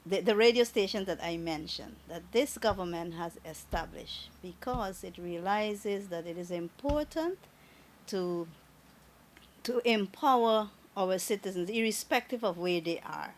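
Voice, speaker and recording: A woman from Guyana with a Guyanese accent, speaking as a government minister in a radio interview in a measured, formal way. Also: mid-range voice. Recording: radio studio with faint noise.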